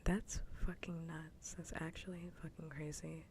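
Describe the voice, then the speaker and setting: Whispering low-voiced woman ASMR streamer. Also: level tone, measured pace, slight background noise. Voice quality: soft, breathy whispering. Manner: casual, repetitive, mildly swearing. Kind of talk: whispered ASMR livestream chat. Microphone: close ASMR microphone.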